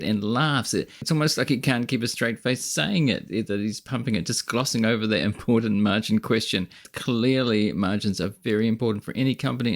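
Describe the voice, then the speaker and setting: Skeptical man giving casual commentary. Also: medium pitch. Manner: skeptical, critical. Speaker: video host and finance commentator.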